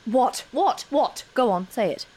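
A woman's voice: a little high, lively intonation, a little slow, mellow. An actress playing an anxious, defensive woman with a blunt, sarcastic, frantic manner in an audio drama. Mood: panicky. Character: anxious, defensive, sweary woman dreading a party.

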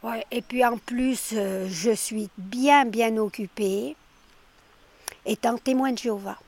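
A woman's voice: a little high, takes it slowly, a little mellow. An older woman from France chatting outdoors by her garden during a street interview, talkative and emphatic, often repeating her words. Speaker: villager stopped for a street interview. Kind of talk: casual roadside chat.

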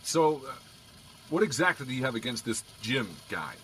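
A man's voice: medium pitch, moderate pace, slight background noise, lightly acted. An actor playing a wry male police officer who teases and jokes dryly. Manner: dry joking, lively. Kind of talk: audio drama acting.

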